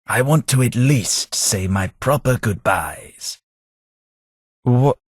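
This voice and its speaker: A man voicing a dying ghoul gentleman in a game, speaking in a polite, formal, dry manner. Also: medium pitch, expressive, slow pace, husky, no room sound. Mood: courteous. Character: dying ghoul gentleman companion, courteous and wry. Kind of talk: game voice acting.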